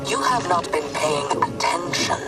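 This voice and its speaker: A woman's voice: lowish voice, expressive, a little slow. A woman voicing a stern alien of an insect hive, speaking ceremoniously in a video game. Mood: solemn, stern. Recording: distant voice under loud music.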